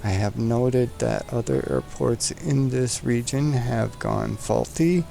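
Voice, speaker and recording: A man's voice: mid-range voice, vocal fry, mellow. A man hosting a flight simulator session, chatting casually, joking and talking to the aircraft as he sets it up. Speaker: flight sim streamer host. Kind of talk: casual chat while flying a simulator.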